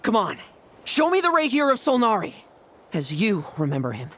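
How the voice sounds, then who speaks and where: A man's voice: high voice, huge pitch swings, normal speed, a bit bright, full character voice. An actor playing a cocky, taunting young male fighter with a brash, teasing manner in a video game. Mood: challenging.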